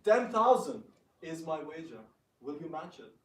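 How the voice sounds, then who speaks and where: A man acts out a dignified divine prince who is quick to take offence. His voice is high, his speech formal and firm. It is a dramatic reading in an echoey room, heard some way from the microphone. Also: sing-song, recorded from a distance. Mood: composed.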